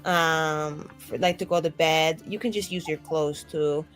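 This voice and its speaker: A woman's voice: low-pitched, fairly even intonation, unhurried, faint music behind, pitched below the performer's own voice. A woman voicing a proper, reassuring female character in a tabletop game, formal and matter-of-fact. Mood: prim, reassuring.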